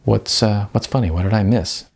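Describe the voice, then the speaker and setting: A man's voice: on the low side, normal speed, creaky, darkish tone. A man voicing an eager, unsure young husband who stammers in an audiobook. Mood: hesitant. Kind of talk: audiobook character voice.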